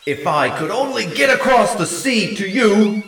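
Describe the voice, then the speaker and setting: A man's voice: high-pitched, lively intonation, unhurried, vocal fry, a bit bright, echoey room, recorded from a distance, a little hiss or hum, pitched above the performer's own voice, full character voice. A man putting on a roaring angry giant. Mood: bellowing. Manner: roaring, bellowing. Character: huge angry Scottish male giant, furious.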